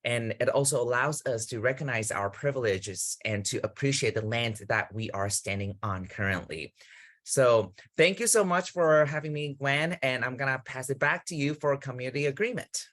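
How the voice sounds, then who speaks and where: A man from Taiwan giving an earnest welcome and land acknowledgement over a video call, gently inviting others to share. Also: medium pitch, moderate pace. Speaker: committee member.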